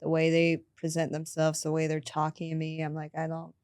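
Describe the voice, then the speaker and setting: Low-voiced woman hosting a podcast. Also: a little fast, clear, resonant tone, no room sound, studio quality. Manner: relaxed, chatty, many fillers. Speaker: podcast host. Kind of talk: casual interview chat.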